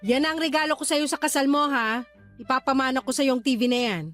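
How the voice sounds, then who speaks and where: High-pitched, boastful Filipino mother. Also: fairly quick, a bit bright. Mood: bossy, petty. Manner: exaggerated, swooping delivery. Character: boastful, envious Filipino mother, one-upping her neighbor. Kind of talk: radio drama acting. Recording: light background music under dialogue.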